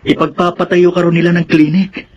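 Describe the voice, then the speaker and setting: A man's voice: high voice, flat delivery, a little fast, natural voice. An actor with a Filipino accent playing a supportive, level-headed young man in a radio drama, earnest and reassuring. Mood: upbeat. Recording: dull lo-fi sound, faint noise.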